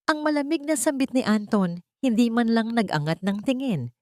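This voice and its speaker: A woman's voice: fairly high pitch, very animated, moderate pace, a little mellow, no echo, clean studio sound. A woman reading a scripted dramatic story in a solemn, melodramatic manner.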